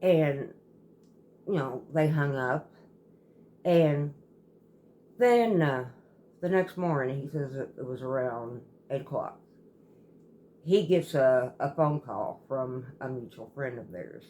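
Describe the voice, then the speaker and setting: A woman telling a story casually as a host, in a halting, rambling way that often circles back and repeats. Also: a bass voice, lively intonation, mellow, slight background noise. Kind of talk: casual storytelling, retelling a viewer's account.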